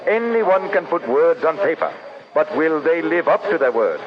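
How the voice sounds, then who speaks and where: An actor in an old-time radio drama playing a gruff, skeptical well-to-do colonial father who grudgingly doubts everything. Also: a little high, big pitch swings, moderate pace, background noise. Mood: grumbling, skeptical. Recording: muffled old radio recording, crowd behind.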